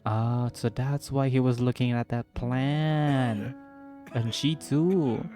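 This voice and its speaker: A man's voice: medium pitch, steady tone, takes it slowly, dark tone, faint music behind. A man reacting casually to a game as a video creator, gushing in short, murmured comments.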